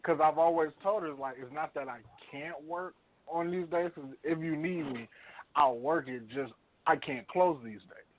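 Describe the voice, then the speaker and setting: A man's voice: fairly high pitch, moderate pace. Slangy man on narrow, tinny audio. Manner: blunt, slangy. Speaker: radio show co-host. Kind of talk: casual chat. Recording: narrow tinny phone-like sound.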